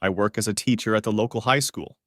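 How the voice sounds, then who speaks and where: A man teaching beginner English, reading short model sentences clearly and saying each one twice. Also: lowish voice, a bit bright, no room sound, studio quality. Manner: says each phrase twice, clearly and deliberately.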